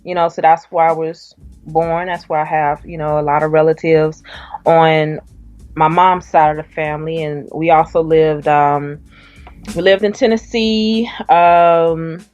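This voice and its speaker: A woman's voice: deep voice, steady tone, a little slow, a little mellow, muffled recording, faint music behind. A young woman from the South, an author and poet, answering interview questions with a hesitant manner and many fillers. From Southern US (Alabama).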